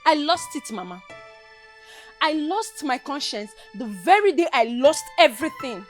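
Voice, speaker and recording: A bitter defiant daughter. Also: female voice, sits high, big pitch swings, a little slow, faint music behind. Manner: sharp, dismissive, resentful. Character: bitter defiant young Nigerian mother.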